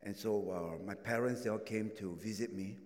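A man preaching a sermon and sharing his testimony, in non-native English phrasing with halting restarts. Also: fairly low pitch, darkish tone. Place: large echoing hall. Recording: echoing hall.

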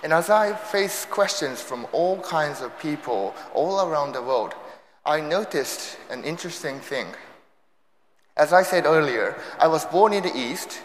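A man's voice: fairly high pitch, expressive, measured pace, a little hiss or hum. Young Japanese-accented man in echoey hall. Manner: light self-deprecating humor. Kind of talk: sermon talk. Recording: echoey hall with long reverb.

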